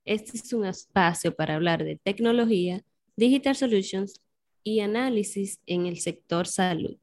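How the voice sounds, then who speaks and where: A woman's voice: mid-range voice, normal speed, darkish tone, slight background noise. A woman hosting a podcast, opening the episode and guiding the conversation in an upbeat way. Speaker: podcast host.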